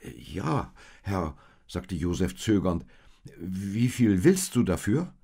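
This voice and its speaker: A man's voice: deep voice, big pitch swings, slow, dark tone, heavily acted. A man voicing a poor, humble, weary husband in a storybook tale, earnest and hesitant. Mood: hesitant, pleading. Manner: hesitant, subdued. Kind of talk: storybook character voice in narrated tale.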